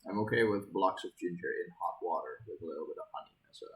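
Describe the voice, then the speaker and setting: A man's voice: sits low, expressive, fast. A man chatting casually with a friend on a stream, matter-of-fact and dry, with a few chuckles. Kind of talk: casual chat.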